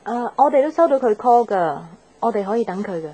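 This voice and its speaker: An actress playing a wistful young woman in a radio drama, reflective and soft in manner. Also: fairly high pitch, animated, fairly quick, poor audio. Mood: polite, matter-of-fact. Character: wistful young woman still attached to old love. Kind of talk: Cantonese radio drama narration and dialogue. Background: background music.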